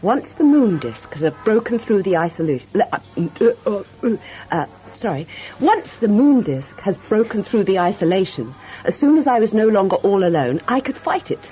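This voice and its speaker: A woman's voice: mid-range voice, sing-song, moderate pace, mellow, close to an everyday voice, low-quality recording. A woman playing a telepathic alien crew member in a sci-fi radio skit, explaining earnestly as if reading. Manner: earnest explaining, read from script.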